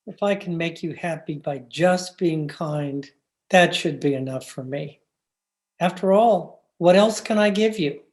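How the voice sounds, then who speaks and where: An actor playing a gentle, adoring young gentleman in a radio drama, tender, earnest and reassuring. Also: medium pitch, measured pace, close to an everyday voice. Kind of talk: old-time radio drama acting.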